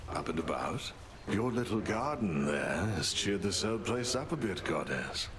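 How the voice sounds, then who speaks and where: A man voicing a genial, wry tactician spirit in a video game, speaking in a genteel, measured, philosophical way. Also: low-pitched, very animated, background noise.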